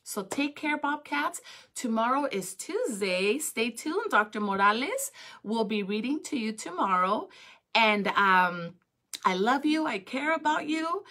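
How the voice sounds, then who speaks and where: A woman in her thirties talking to young students as an educator, expressive and encouraging. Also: a little high, unhurried. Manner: expressive, encouraging, addresses children directly. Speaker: school educator reading to students. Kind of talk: read-aloud and chat to children. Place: small school office or classroom, indoors. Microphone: phone at arm's length.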